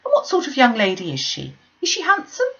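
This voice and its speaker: Woman putting on a high, gushing gentry mother. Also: huge pitch swings, fairly quick, bright, forceful tone, muffled recording, full character voice. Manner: gushing, prying questions. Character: chatty middle-aged gentry mother, eager, nosy.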